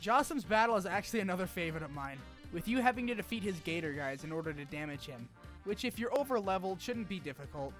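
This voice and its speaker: Male video essayist, higher-pitched voice, informal scripted narration with sardonic asides, light music bed. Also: expressive, fast talker, a bit bright. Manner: informal, swearing, wry asides. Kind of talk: scripted game review narration, casual commentary.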